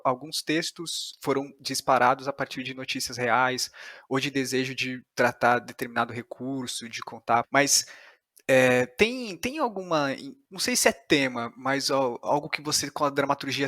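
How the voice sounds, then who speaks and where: A man's voice: medium pitch, bright, forceful tone, no room sound, clean studio sound. A Brazilian man interviewing casually, tentative and hesitant as he keeps rephrasing what he asks. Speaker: podcast interviewer.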